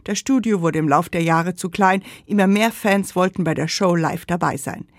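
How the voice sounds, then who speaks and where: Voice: medium pitch, normal speed, no room sound, studio quality. A narrator reading a scripted radio history feature.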